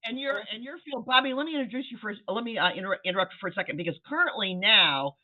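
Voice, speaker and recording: An enthusiastic man hosts an online panel and hands the floor from guest to guest. His voice is high for a man. It comes through a narrow, tinny video-call line. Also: quick pace. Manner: upbeat, enthusiastic. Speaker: panel moderator.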